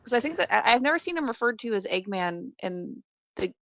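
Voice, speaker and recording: A woman's voice: fairly high pitch, big pitch swings, fairly quick, gravelly, fairly bright tone, no echo, clean studio sound. A woman chatting casually as a panelist on a movie podcast.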